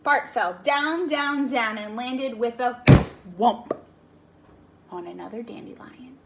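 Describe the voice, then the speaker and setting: A woman reading a picture book aloud to a child in slow, expressive narration. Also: on the high side, vocal fry, heavy background noise. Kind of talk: storybook read-aloud.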